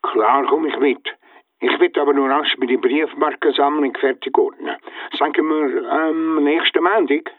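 An easygoing older pensioner in a radio play. His fairly high male voice comes over a thin, dry phone line. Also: fairly even intonation, moderate pace, no room sound. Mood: willing, unhurried. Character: easygoing male pensioner, stamp-collecting amateur sleuth. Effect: telephone filter.

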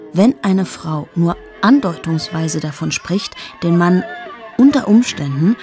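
An actress in her thirties playing a cynical, sharp-tongued journalist in a radio play monologue, speaking in sardonic, confiding asides to the listener. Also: fairly low pitch. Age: 30s. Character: cynical 38-year-old woman journalist, sharp-tongued, mocking.

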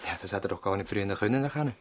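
An actor playing an inquisitive, courteous visiting doctor in a conversational radio drama. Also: male voice, on the low side, very fast, a little hiss or hum, close to an everyday voice. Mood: inquiring.

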